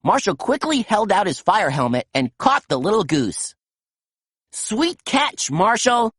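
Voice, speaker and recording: A woman reading a children's picture book aloud in a gentle, expressive storytelling manner. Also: fairly low pitch, normal speed, breathy edge, dry recording, clean studio sound. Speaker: storytime reader.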